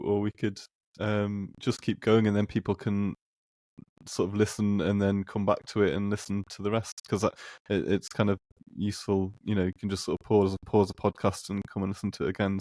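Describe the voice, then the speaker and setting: A man with a fairly low voice hosts a casual podcast conversation. He is tentative, circling back and restarting his phrases, and the sound is dry with hardly any room on it. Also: darkish tone, no room sound. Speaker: podcast host.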